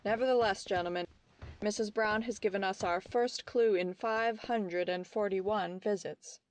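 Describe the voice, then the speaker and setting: A woman's voice: mid-range voice, unhurried, no echo, lightly acted, low-quality recording. A woman acting in old-time radio style as a determined young detective, polite, formal and precise. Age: young adult.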